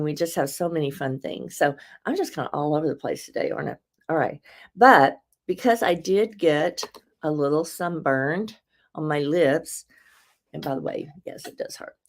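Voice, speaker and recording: Casting: woman host, low and a touch raspy, chatty and rambling. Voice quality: slightly raspy. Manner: chatty, rambling, laughs often, warm asides to viewers. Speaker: host presenting beauty products. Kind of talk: casual live product demo.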